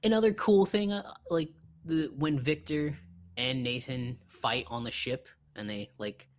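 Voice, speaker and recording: A man's voice: medium pitch, measured pace. A man co-hosting a podcast, talking casually about film in an informal way with some swearing. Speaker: podcast co-host.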